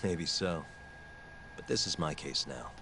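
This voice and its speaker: An actor playing a calm, self-assured defense attorney in a crime drama game, speaking in a cool, understated way. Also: male voice, rumbling low, measured pace, noisy background, full character voice. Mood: cool, guarded. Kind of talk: cinematic video game dialogue.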